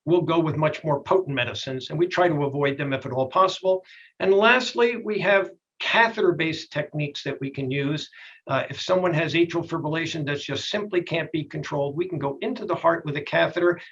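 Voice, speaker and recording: Husky-voiced male doctor explaining plainly. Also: fairly high pitch, a bit bright, lo-fi sound. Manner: plainspoken, folksy. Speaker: doctor, expert guest. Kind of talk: explanatory TV health interview.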